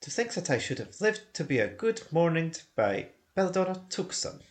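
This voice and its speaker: A man voicing an old male wizard, wise and gruff, speaking deliberately. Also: fairly high pitch, very animated, voice pushed up. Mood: indignant.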